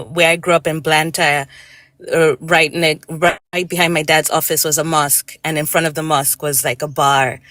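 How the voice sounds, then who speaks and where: Low-voiced woman, hesitant and halting. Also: fairly bright tone, no room sound. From Malawi. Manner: hesitant, halting, many fillers and restarts. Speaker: guest on a live stream. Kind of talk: casual discussion.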